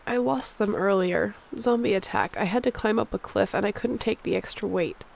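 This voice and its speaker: A woman's voice: mid-range voice, normal speed, gravelly. A woman playing a sick, stubborn survivor of a zombie apocalypse in an audio roleplay, speaking in a weary, conversational way. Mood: defensive, pleading. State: feverish, under the weather.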